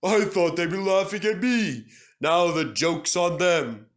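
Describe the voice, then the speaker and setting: A man's voice: high voice, measured pace, pitched above the performer's own voice. A man voicing a put-upon but plucky steam engine with a sighing manner, in a storybook reading. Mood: gleeful. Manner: mournful, sighing.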